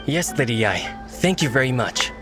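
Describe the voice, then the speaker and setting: A man's voice: mid-range voice, sing-song, normal speed, natural voice. A man voicing a nervous, deferential office employee in an anime game, hesitant and timid. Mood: grateful, meek. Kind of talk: anime game character acting. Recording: music behind the voice.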